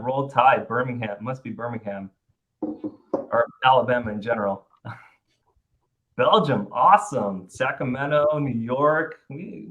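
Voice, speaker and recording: A man's voice: mid-range voice, a bit bright, lo-fi sound. Upbeat man hosting a webinar. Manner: upbeat, chatty, many fillers and restarts. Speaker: webinar host. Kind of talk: webinar presentation. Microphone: video call.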